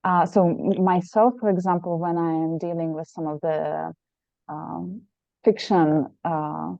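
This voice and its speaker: A woman's voice: lowish voice, fairly even intonation, a little slow, vocal fry, dark tone, no echo, lo-fi sound. A woman in the audience asking a long, winding question after an academic talk, with many fillers. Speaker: audience member, language teacher.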